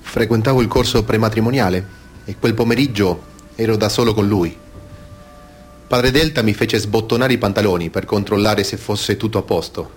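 A man's voice: on the low side, moderate pace, soft background music, natural voice. An actor playing an adult male abuse survivor, reciting grave testimony for radio theatre in a sober, restrained way.

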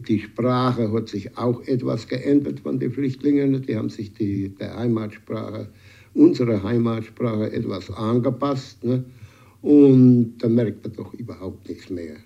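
A man recalling things haltingly in an informal interview. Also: mid-range voice, vocal fry, warm tone, muffled recording. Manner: hesitant, halting, dialect-tinged. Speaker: villager being interviewed. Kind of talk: spontaneous interview recollection.

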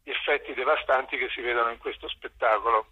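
A man explaining in an interview, often pausing and repeating small words as he searches. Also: mid-range voice, steady tone, breathy edge. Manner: hesitant, stutter-like repeats while searching for words. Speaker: translator and theatre director, interview guest. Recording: dull, lo-fi phone-in sound.

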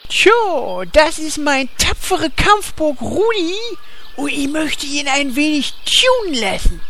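A woman playing a naive, excitable fantasy hero in a comedy audio drama, talking in a drawn-out, colloquial way. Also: high-pitched, slight background noise, full character voice. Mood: proud. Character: naive excitable fantasy hero who dotes on a riding ram.

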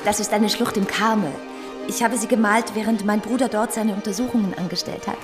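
An actress playing a frank, cheerful, gently sceptical woman in her thirties in a German radio drama, with a wry manner. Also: mid-range voice, fairly even intonation, brisk, music in the background, close to an everyday voice. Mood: wry, light.

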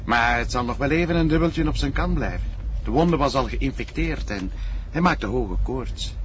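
An actor playing an earnest, matter-of-fact police colleague in a crime drama, with a Flemish accent. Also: male voice, medium pitch, lively intonation, normal speed, close to an everyday voice. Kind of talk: naturalistic crime drama dialogue. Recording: dull noisy audio with car ambience.

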